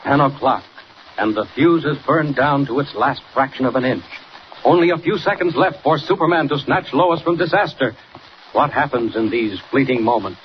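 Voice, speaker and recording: A man's voice: mid-range voice, moderate pace. A man narrating a radio serial as announcer, in a melodramatic, suspenseful 1940s style. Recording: muffled, noisy 1940s radio recording.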